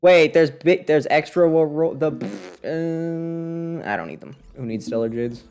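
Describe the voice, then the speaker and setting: A man's voice: fairly high pitch, expressive, unhurried, a little mellow, clear, resonant tone. A man giving casual live commentary on a video game, talking to himself and his viewers in a wry, sarcastic way. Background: game music.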